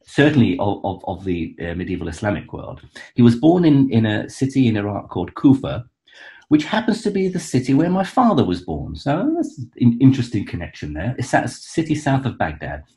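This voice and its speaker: A man's voice: medium pitch, sing-song, normal speed, warm tone. A man who is an author, appearing as a guest expert and explaining history in a conversational way, full of restarts and fillers. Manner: hesitant, many restarts and fillers, enthusiastic.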